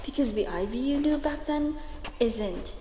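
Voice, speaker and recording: A woman playing a guarded, defiant crime syndicate member with a deliberate, taunting delivery in an audio drama. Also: high-pitched, expressive, mellow. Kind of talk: audio roleplay drama acting. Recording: echoey hall reverb, faint noise.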